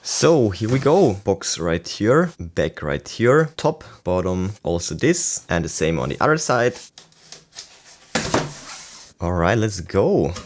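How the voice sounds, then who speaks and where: Male tech reviewer, lower register, casual and enthusiastic, given to exclaiming. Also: sing-song, slow pace, slight background noise. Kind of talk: casual unboxing and review talk.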